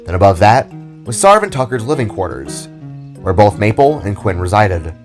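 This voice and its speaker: A man's voice: lowish voice, big pitch swings, normal speed. A man reading scripted fiction as the storyteller, narrating the scenes and characters. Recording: background music under the voice. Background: music.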